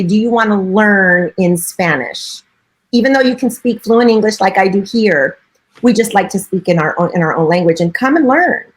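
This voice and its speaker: Chatty middle-aged woman broker. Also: mid-range voice. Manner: chatty and earnest, with frequent filler phrases. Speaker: real estate broker and coach. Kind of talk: casual interview answers.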